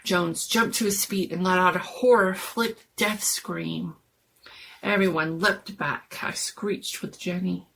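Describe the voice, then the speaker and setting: A woman's voice: on the low side, fairly even intonation, takes it slowly, gravelly, a bit bright, slight background noise. A woman giving a dramatic, suspenseful scripted narration of a scary story.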